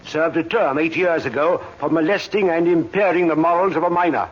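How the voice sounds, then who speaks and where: An actor playing a brisk, authoritative British police inspector in an old radio drama, clipped and formal. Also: male voice, on the high side, natural voice. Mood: brisk, official. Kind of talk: 1950s radio drama acting. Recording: muffled old radio recording, faint hiss.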